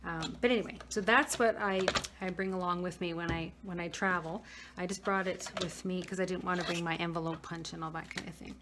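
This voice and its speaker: Woman, slightly hoarse, casual craft tutorial, talks while working, noisy home recording. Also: lowish voice, steady tone, brisk. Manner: chatty, talks while working, frequent restarts. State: slightly hoarse, losing her voice. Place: craft room at a work table.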